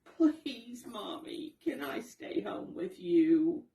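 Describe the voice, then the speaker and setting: A woman's voice: medium pitch, sing-song, drawn-out words, vocal fry, dark tone, echoey room, distant mic, voice pushed up, heavily acted. A woman voicing a sad little boy raccoon from a storybook, pleading tearfully with his mother. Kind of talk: children's storybook read-aloud.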